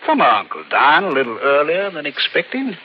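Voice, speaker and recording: An American actor playing a scheming, menacing crook in an old radio thriller, with a sly, mock-friendly, insinuating way of talking. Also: male voice, fairly high pitch, wildly swooping pitch, normal speed, husky, full character voice. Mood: cold, threatening. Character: scheming, menacing crook after his uncle's money. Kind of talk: 1940s radio thriller acting. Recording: dull old radio drama recording.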